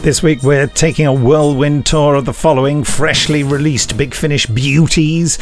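Droll male co-host, slightly high voice, chatty presenting, faint music behind. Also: steady tone, moderate pace. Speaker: podcast co-host. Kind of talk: podcast presenting and casual chat.

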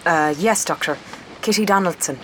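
A woman playing a blunt, sceptical, fiercely loyal First World War nurse in an audio drama, sharp-tongued and emotional. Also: lowish voice, normal speed, slight background noise, natural voice, poor audio. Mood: deferential. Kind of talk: full-cast science fiction audio drama. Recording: dramatic music behind the voice.

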